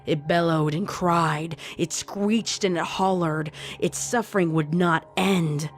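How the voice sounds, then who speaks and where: A woman narrating a scripted horror story in a deliberate, dramatic way. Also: on the low side, steady tone, soft background music.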